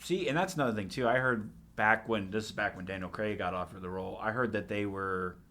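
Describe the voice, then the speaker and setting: A man's voice: on the low side, a little fast, pushed and bright. A man talking casually and bluntly on a podcast. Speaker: podcast panelist. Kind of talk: casual chat.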